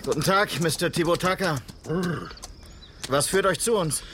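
Schauspieler als bestimmter Westernpolizist, etwas hohe Stimme. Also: male voice, on the high side, normal speed, fairly bright tone, a little hiss or hum, natural voice. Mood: composed, courteous. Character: composed, authoritative male frontier policeman, courteous but firm. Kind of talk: German radio drama acting.

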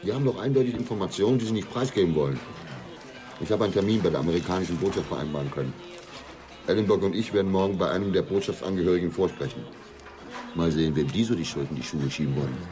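An actor playing a blunt, impatient police detective with an offhand manner in a German radio drama. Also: male voice, low-pitched, quick pace, close to an everyday voice. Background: music.

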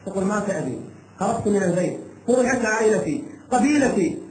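A man's voice: high voice, expressive, fast, darkish tone. A man preaching an impassioned sermon, telling a cautionary tale in short, emphatic phrases with dramatic repetition. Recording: echoey room sound with light background noise.

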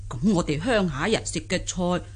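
An actress playing a naive, jolly old country granny in a radio drama, with folksy, exclamatory delivery. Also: female voice, lowish voice, animated, normal speed, background noise, lightly acted. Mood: jolly, frank.

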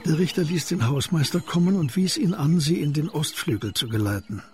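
A man narrating a scripted crime story in a measured, descriptive, literary way. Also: on the high side, lively intonation, a little mellow.

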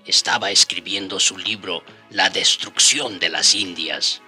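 An actor playing a reminiscing eyewitness who narrates a historical radio drama in a husky voice. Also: male voice, lowish voice, bright, forceful tone, heavily acted.

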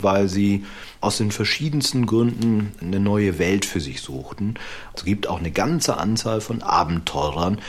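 Husky low-voiced male historian. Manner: deliberate, explanatory, builds long lists. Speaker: historian interviewed as expert. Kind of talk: expert interview.

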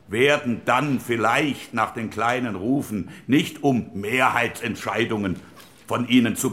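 An actor playing a reflective, wry post-war parliamentarian, delivering a deliberate rhetorical speech in a radio documentary drama. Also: male voice, medium pitch, fairly bright tone.

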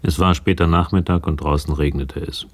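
A man narrating a crime radio play in a measured, matter-of-fact way, with a gravelly voice. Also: very deep voice, steady tone, noisy background. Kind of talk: scripted radio drama narration.

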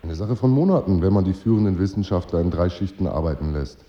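A man's voice: low-pitched, animated, fast. A man playing a sober, doubting knight in a radio play, reasoning in a restrained way. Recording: muffled, echoing room.

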